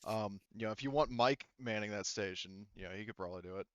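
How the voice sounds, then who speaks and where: A man with a clean, fairly low voice runs a tabletop game in a casual, informal manner. His storytelling is halting and loose, with some swearing. The sound is dry and slightly dulled. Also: clean tone, no room sound, dull audio. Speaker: game master.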